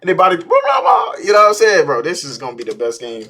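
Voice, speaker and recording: A man in his twenties reacting casually as a streamer, with slangy, excitable, exclamatory talk. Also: high voice, fast talker. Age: 20s. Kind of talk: casual live reaction chat.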